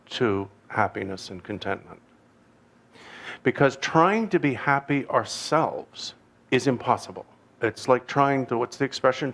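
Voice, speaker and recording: A man with a Canadian accent giving an informal dharma teaching, talking in a halting, digressive way with wry, self-deprecating humor. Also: medium pitch, a bit bright. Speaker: Buddhist teacher.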